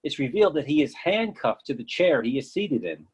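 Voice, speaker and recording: A man's voice: on the high side, big pitch swings, brisk, darkish tone, husky. A man reading stage directions as scripted narration for a play.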